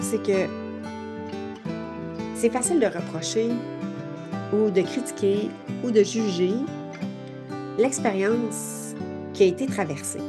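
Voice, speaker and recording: Woman, late forties, mid-pitched, earnest reflective podcast host, soft music underneath. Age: late 40s. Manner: earnest, searching, heavy on fillers and rhetorical questions. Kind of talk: reflective personal monologue.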